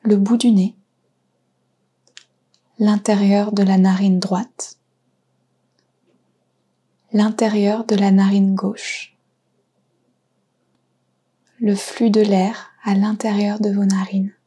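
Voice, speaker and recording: A woman guiding a yoga nidra relaxation, speaking softly and soothingly with pauses between short phrases. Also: mid-range voice, level tone. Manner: soft, breathy, soothing delivery with pauses. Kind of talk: guided relaxation meditation.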